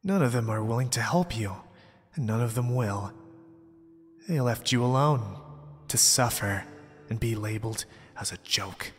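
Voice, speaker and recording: Man playing a slow, persuasive young zealot. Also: mid-range voice, husky. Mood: calm, persuasive. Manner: polite, persuasive, measured, pompous. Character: mysterious, smooth-talking young male zealot cult recruiter. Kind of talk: ASMR roleplay audio drama. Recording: long reverb, background music. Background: music.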